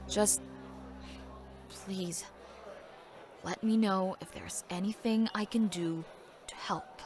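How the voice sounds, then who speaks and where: A woman's voice: mid-range voice, steady tone, slow pace, over music, close to an everyday voice. A woman playing a confident, caring and teasing young adventurer in a fantasy audio drama. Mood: gentle.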